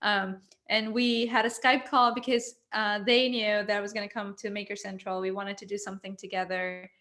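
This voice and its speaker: A woman's voice: medium pitch, flat delivery, normal speed, a bit bright. A woman from Mexico with a Mexican accent, co-hosting a casual live video chat and laughing often. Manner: giggly, chatty, laughs often. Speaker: co-host. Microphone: computer mic on a video call.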